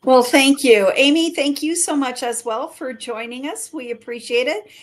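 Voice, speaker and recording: Brief: middle-aged woman, community livestream host, enthusiastic promo delivery, higher-pitched voice. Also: normal speed. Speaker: chamber of commerce director hosting a live show.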